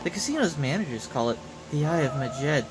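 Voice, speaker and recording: A man's voice: fairly high pitch, animated, fairly quick, heavy background noise, close to an everyday voice. Man reading as a greedy, flippant game goddess. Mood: businesslike. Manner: breezy, pushy, self-satisfied. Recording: loud game music behind voice.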